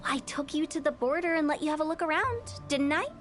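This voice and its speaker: A woman's voice: high voice, fast, music in the background, close to an everyday voice. A woman voicing a playful, eccentric young funeral parlor director in a video game, with a mischievous, theatrical and breezily morbid manner. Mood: teasing.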